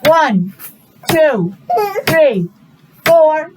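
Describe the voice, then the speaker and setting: A woman's voice: sits high, expressive, drawn-out words. American lady preschool teacher, sing-song and really up and down, repeats simple stuff, noisy recording. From New England, USA. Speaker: early childhood teacher. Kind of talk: interactive preschool lesson for young children.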